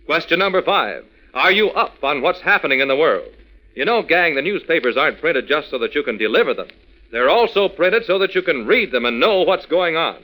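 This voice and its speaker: A man's voice: fairly high pitch, animated, brisk, pushed and bright, rough recording. A male announcer giving a scripted, emphatic, folksy address to children in 1940s radio style.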